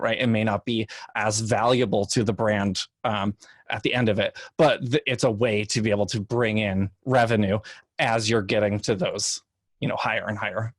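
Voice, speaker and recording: A man speaking as an expert panelist, explaining things in a halting way and often checking in with the listener. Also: lowish voice, no room sound. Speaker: podcast industry panelist.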